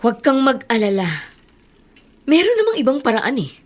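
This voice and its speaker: A woman's voice: sits high, sing-song, moderate pace, slight background noise. A woman playing a guilt-ridden, self-sacrificing mother in a Tagalog radio drama, pleading and earnest in a melodramatic way. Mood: anxious, conciliatory.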